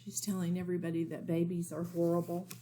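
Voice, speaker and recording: A woman's voice: on the low side, level tone, fast, mellow, a little hiss or hum. A woman teacher reading a children's storybook aloud expressively, explaining things simply for young listeners.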